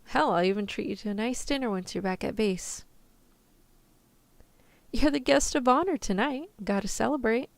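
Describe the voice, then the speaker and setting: A dry, close-sounding woman playing a scrappy field rescuer with a creaky edge to her voice. She is tough and protective, talking in easygoing slang as in an ASMR roleplay. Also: on the high side, dry recording. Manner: casual slangy reassurance, turning threatening.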